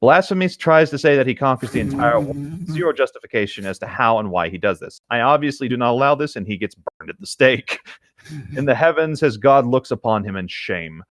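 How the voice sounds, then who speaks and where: Animated man reading a story casually. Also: medium pitch, fairly quick, dry recording. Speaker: host reading online stories aloud. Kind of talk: casual read-aloud of a tabletop gaming horror story.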